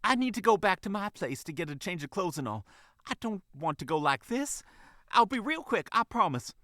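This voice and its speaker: A man's voice: sits high, big pitch swings, moderate pace, fairly bright tone, no echo, studio quality, pitched above the performer's own voice. A man voicing a flustered, emotional older mother fox in a storybook, gushing with folksy endearments. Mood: excited, flustered.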